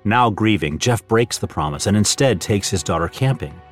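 A man hosting and narrating a scripted audio drama trailer with measured, dramatic storytelling. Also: on the low side, lively intonation, faint music behind. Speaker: host and narrator.

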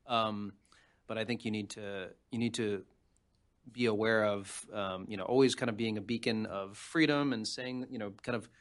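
A man's voice: fairly low pitch, fairly even intonation, moderate pace. A man speaking as a panelist in a conversational policy discussion, arguing in a careful, qualified way.

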